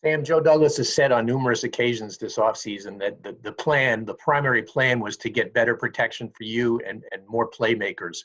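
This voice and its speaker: Man, mid-pitched voice, sports reporter posing a question, dull conference-call audio. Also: fairly quick, hardly any pauses, fairly bright tone.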